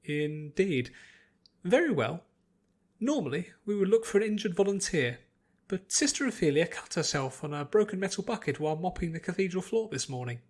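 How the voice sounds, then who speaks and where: A man voicing a formal, patient older priest who instructs a pupil in a measured way, in an audiobook story. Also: sits high, sing-song. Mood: solemn, encouraging. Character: elderly male priest trainer, formal and patient. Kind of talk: dramatised audiobook character voice.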